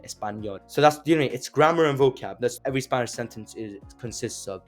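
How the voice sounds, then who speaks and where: A young man whose first language is Azeri talks through a language lesson in an easy, conversational way, dropping in fillers and asking rhetorical questions. His voice sits at an ordinary middle pitch. Faint music plays underneath. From Azerbaijan. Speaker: language teacher. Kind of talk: casual explanatory video lesson.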